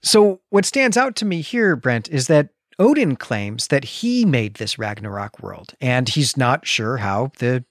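Podcast co-host, mid-pitch male, explains plot with fillers, dry close sound. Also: big pitch swings, unhurried, hardly any pauses, dry recording. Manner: explanatory, recapping a story with fillers. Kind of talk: casual comics discussion.

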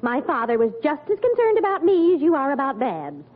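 An actress playing a patient, sensible middle-aged wife and mother, gently exasperated, in a 1940s radio comedy. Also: sits high, wildly swooping pitch, fairly quick. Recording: tinny old radio, audience behind.

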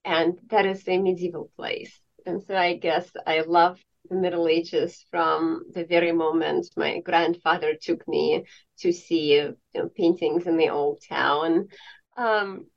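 A woman's voice: lowish voice, a little mellow, highs cut off. A woman from Estonia, an art historian, answering interview questions eagerly with frequent ums.

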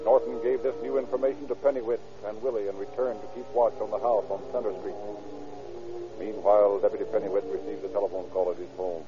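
A man narrating an old radio crime drama in a brisk, formal scripted style. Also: lowish voice, little pitch movement, over music, close to an everyday voice. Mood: brisk, factual. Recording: muffled lo-fi old radio recording.

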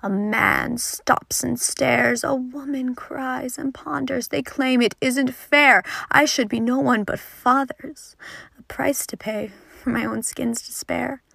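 A teenage girl with a fairly high voice and a noticeable creak in it. She recites her own poetry earnestly and dramatically, recorded close and dry. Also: fairly even intonation, slow, a bit bright, dry recording. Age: teenager, fourteen. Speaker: poet. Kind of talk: introducing and reciting own poem.

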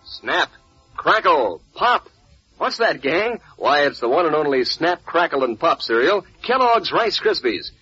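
An eager male pitchman in 1940s radio advertising style, chatting to kids in a folksy way with big swoops of pitch. His voice is husky, on a muffled old recording. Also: sing-song, a little slow, sharp tone. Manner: folksy, eager, addressing children. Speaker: commercial pitchman. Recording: muffled vintage radio, faint music.

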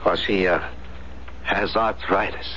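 An actor playing a weary, gentle man on the run in a 1970s radio drama, speaking politely and with some hesitation. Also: deep voice, fairly even intonation, unhurried, vocal fry, full character voice. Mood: wary, polite. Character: weary, gentle, honest fugitive husband. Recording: dull, noisy 1970s radio recording.

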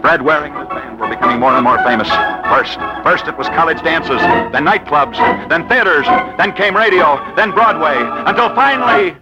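A man hosting in a theatrical, emphatic 1940s radio style, with scripted narration and dramatic pauses. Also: a little high. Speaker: radio host and storyteller. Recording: muffled old radio broadcast, faint music.

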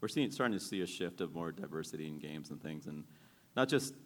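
A man's voice: a bass voice, animated, brisk. A man in his forties, a game studio founder, talking casually on a panel with lots of fillers and self-deprecating humor. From born in Sri Lanka, raised in Maryland. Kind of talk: panel discussion.

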